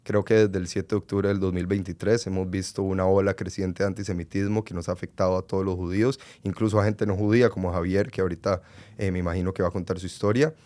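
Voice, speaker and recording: A man in his twenties from Costa Rica, a podcast guest speaking earnestly with frequent pauses and fillers. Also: low voice, steady tone, dark tone. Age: 20s. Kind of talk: conversational interview.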